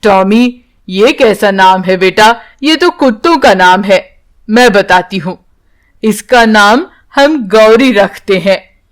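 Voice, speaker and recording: Woman playing a slow-spoken, caring old grandmother. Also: mid-range voice, expressive. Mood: gently correcting. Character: devout, caring old village grandmother, anxious for her family.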